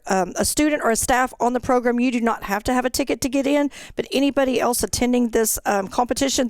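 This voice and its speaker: A woman with a somewhat high-pitched voice presents information in a webinar. She moves through details in an orderly, matter-of-fact manner. The sound is dry, with little room echo. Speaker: fine arts director giving event details.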